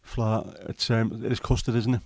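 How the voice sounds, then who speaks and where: Bloke chatting on a stream, umms a lot, low-ish voice, very dry mic. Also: male voice, steady tone, moderate pace, gravelly, slightly rough, no echo. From England. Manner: chatty and rambling, with many ums and restarts. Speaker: livestream host. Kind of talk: casual chat, reading and answering live comments.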